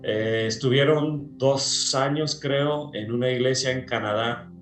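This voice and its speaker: Pastor, man, slow, hesitant, roomy sound. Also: medium pitch, soft background music. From Peru. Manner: slow, hesitant with many fillers. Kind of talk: casual interview chat.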